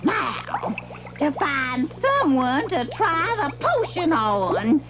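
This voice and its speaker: A vain, scheming witch with a high, wildly swinging voice. She draws out her words with gloating, theatrical relish. Music plays behind this old children's television recording. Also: big pitch swings, heavily acted. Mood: gleeful scheming. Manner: drawn-out, gloating, exclamatory. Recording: music under 1970s television soundtrack.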